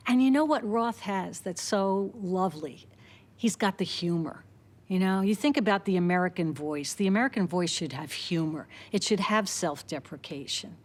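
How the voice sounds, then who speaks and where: A woman's voice: fairly low pitch, expressive, normal speed, a little mellow. A woman giving literary commentary in a documentary interview, conversational and fond of rhetorical questions.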